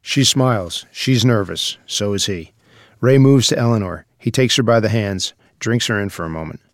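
A man's voice: on the low side, moderate pace, no echo, studio quality. A man reading stage directions as scripted narration for a screenplay.

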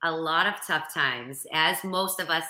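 A woman's voice: sits low, a little slow, bright, forceful tone. Boston-accented woman in an echoey room. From Boston area, Massachusetts. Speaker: guest, motivational speaker. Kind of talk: casual interview chat.

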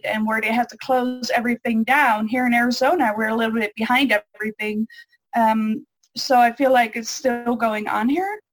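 A woman's voice: fairly high pitch, fairly bright tone, dry recording, poor audio. A woman, answering interview questions casually with frequent ums and restarts. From Netherlands. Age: 20s. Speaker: interview guest. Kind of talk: casual interview over a call.

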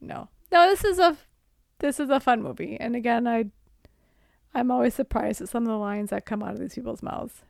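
A woman co-hosting a podcast in a casual, playful chat about film, with an animated way of talking. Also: on the high side, fairly quick, vocal fry, no room sound, studio quality. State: tired. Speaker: podcast co-host.

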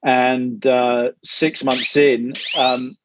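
A man from London with a London accent, a podcast guest telling his story casually, often restarting and pausing. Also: medium pitch, flat delivery, noisy background. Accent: London English. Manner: hesitant, many restarts. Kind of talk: casual interview.

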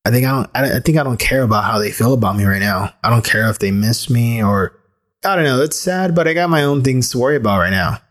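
Thirtysomething guy on his podcast, just thinking out loud, restarts a lot, swears a bit. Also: medium pitch, fast. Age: 30s. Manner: candid, thinking aloud with frequent restarts; casual with occasional swearing. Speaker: podcast host. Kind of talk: solo reflective podcast monologue.

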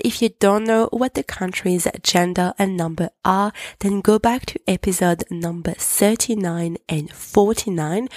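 A woman hosting a podcast lesson, patiently explaining and repeating French examples slowly. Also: fairly low pitch, no echo, clean studio sound. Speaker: French teacher, podcast host.

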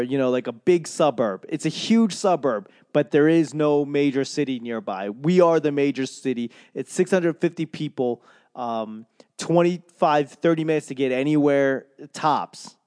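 A man's voice: medium pitch, no room sound, studio quality. Profane, rambling man ranting casually. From Boston. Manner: profane, rambling, animated rant. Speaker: comedian and podcaster. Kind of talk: casual monologue.

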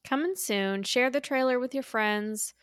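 A woman's voice: on the high side, measured pace, no echo, clean studio sound. A woman co-hosting a podcast in casual chat, speaking in a playful, informal way. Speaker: podcast co-host.

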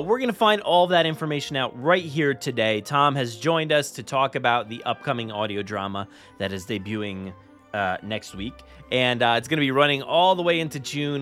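A man's voice: medium pitch, a bit bright. A man hosting a podcast, chatting casually and informally in a rambling way. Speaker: podcast host. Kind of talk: casual chatty show intro.

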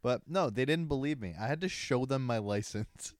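A man's voice: medium pitch, animated, moderate pace, no echo, clean studio sound. A man in his twenties co-hosting a podcast, chatting casually and joking crudely. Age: 20s. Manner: crude, joking, laughs often. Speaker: podcast co-host. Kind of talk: casual chat.

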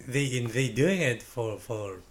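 Russian-accented man, halting English. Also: mid-range voice, animated. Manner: halting learner's English, searching for words. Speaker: guest. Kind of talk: casual podcast chat.

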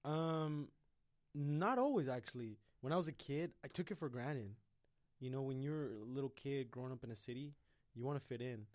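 A man's voice: medium pitch, normal speed, darkish tone, no room sound, clean studio sound. A young man from Mexico, co-hosting a podcast in loose, joking chat, often laughing and trailing off. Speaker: podcast co-host. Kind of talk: casual chat.

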